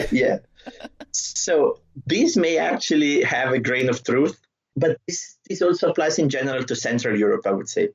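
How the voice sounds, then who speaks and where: A man from southern Italy with an Italian accent, a comedian answering interview questions in an animated way, laughing often and restarting his words. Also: medium pitch, unhurried, creaky, slightly rough. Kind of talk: conversational interview. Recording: dull lo-fi audio.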